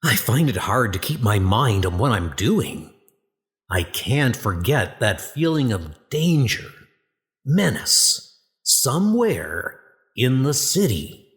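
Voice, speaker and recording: A man's voice: medium pitch, big pitch swings, takes it slowly, breathy edge, heavily acted. A man voicing an earnest doctor with a secret heroic side in a comic book dub. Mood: uneasy. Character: earnest male doctor, secretly a thunder god.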